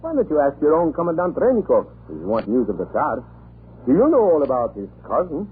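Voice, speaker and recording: An actor playing a talkative, irreverent Russian government official in a radio drama, chatty, excitable and theatrical. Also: male voice, a little high, brisk. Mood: scornful bewilderment. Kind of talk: 1940s radio drama acting. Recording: muffled, noisy old radio recording.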